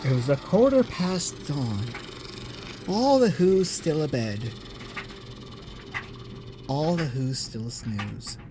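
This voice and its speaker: A narrator giving a theatrical, expressive read-aloud of a rhyming children's story. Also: mid-range voice, extremely slow, pauses often, background noise.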